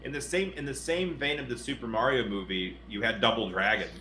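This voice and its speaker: A man's voice: mid-range voice, fairly quick, bright, forceful tone. A man in his thirties co-hosting a podcast, chatting casually about films and often repeating words as he goes. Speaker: podcast co-host. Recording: roomy echo, faint noise.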